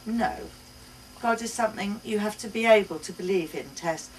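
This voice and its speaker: A woman reading the part of an earnest, affectionate mother, halting and emphatic as she explains things to her child. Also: medium pitch, lightly acted. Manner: halting, emphatic, searching for words. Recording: distant mic, noisy live bookshop event.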